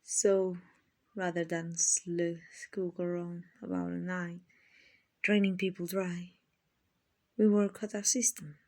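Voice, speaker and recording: A woman voicing a kindly, genteel female vampire in a soft, soothing, half-whispered ASMR roleplay, with a breathy, husky voice. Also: lowish voice, flat delivery. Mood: patient, explaining. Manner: slow, soothing, genteel, endearing. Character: gentle, kindly female vampire, soothing rich slave-buyer.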